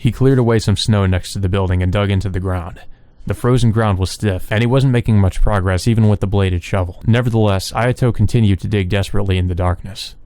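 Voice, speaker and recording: A man's voice: on the low side, fairly even intonation, quick pace, few pauses, darkish tone, dry recording. A man reading visual novel story text aloud as narration for a let's play.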